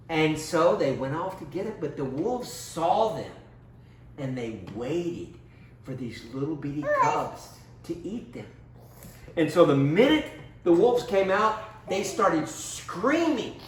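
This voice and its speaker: A man giving a children's Bible lesson as storyteller, speaking simply and instructively and putting questions to small children. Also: high-pitched. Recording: roomy, distant mic with background noise.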